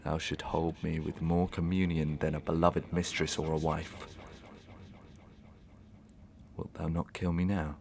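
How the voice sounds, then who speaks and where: An actor playing a guilt-racked, tormenting gentleman murderer in a Victorian audio melodrama, with theatrical, deliberate, archaic delivery. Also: a bass voice, little pitch movement, gravelly. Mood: sinister, taunting. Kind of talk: Victorian stage melodrama acting in an audio drama.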